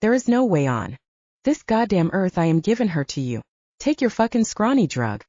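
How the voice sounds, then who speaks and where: Woman voicing hot-headed, husky werewolf soldier. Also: medium pitch, big pitch swings, moderate pace, warm tone, no echo, lo-fi sound. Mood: furious, threatening. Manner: swearing, threatening, growling. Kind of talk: audiobook character dialogue.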